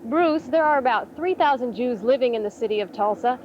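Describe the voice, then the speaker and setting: A woman reporting live from outdoors on location, measured and explanatory. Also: fairly high pitch, lively intonation, a little mellow, highs cut off, a little hiss or hum. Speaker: field reporter.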